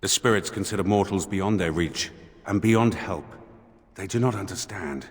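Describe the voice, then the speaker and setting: A solemn spirit in a dead man's body, from a fantasy game, acted by a man. The voice is low, deliberate and formal, with quiet wonder. It carries a long reverberant tail. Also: natural voice. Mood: wondering, earnest. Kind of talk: video game voice acting.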